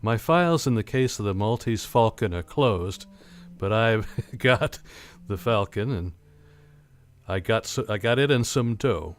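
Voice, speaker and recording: An actor playing a hard-boiled, cynical private eye, narrating a radio play in slangy, matter-of-fact noir style. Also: male voice, lowish voice, animated, a little slow. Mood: cool, wry. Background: music.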